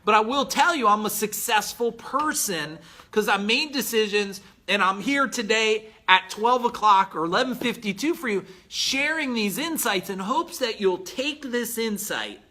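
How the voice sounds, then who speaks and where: A man in his forties giving a blunt, emphatic motivational talk as a business coach on a live stream, clearing his throat now and then. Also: high-pitched, animated, normal speed, pushed and bright. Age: 40s.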